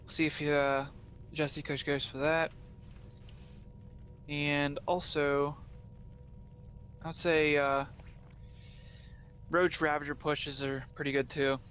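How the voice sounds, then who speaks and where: Bright-voiced young man calling a game. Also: a little high, slow pace, long pauses, faint music behind. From Pennsylvania. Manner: steady, explanatory, upbeat. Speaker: play-by-play caster. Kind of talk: esports play-by-play commentary. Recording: faint background noise.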